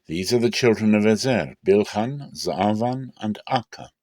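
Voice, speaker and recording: A man narrates scripture in a low voice with a dry, close sound. His delivery is measured and deliberate, shaped as a dramatic reading. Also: lively intonation, darkish tone, no echo. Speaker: Bible reader.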